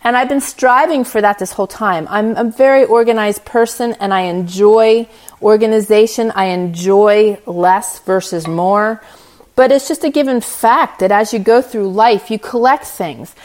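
A woman's voice: medium pitch, moderate pace, a little mellow. A middle-aged woman speaking as a podcast host, giving an informal motivational talk in an earnest, encouraging, conversational way.

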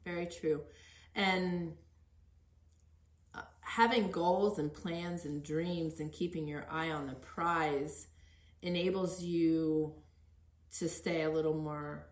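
A woman's voice: deep voice, steady tone, takes it slowly. A middle-aged woman hosting a podcast interview in an earnest, encouraging, conversational way. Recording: echoey untreated room.

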